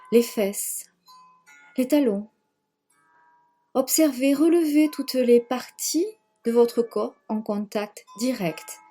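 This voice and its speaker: Slow, breathy woman guiding meditation. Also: a little high. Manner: gentle, measured, with long pauses and repeated phrases. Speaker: yoga nidra guide. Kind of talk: guided meditation, slow spoken relaxation.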